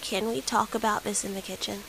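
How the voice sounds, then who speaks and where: A woman's voice: medium pitch, level tone, fairly quick, vocal fry, lightly acted. A woman playing a frightened, withdrawn little boy in an audio horror drama, hesitant and pleading. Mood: timid, uneasy. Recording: hissy background noise.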